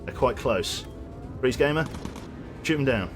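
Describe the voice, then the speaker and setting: A man giving gameplay commentary as a streamer, excitable and casually swearing, his voice going a little hoarse. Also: mid-range voice, measured pace, over music. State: losing his voice.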